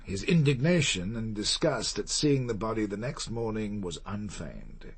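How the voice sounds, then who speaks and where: An actor playing a proud, reserved older gentleman in a radio drama, speaking formally and deliberately, with guilt under the surface. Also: lowish voice, very animated, breathy edge, full character voice. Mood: measured, confessional.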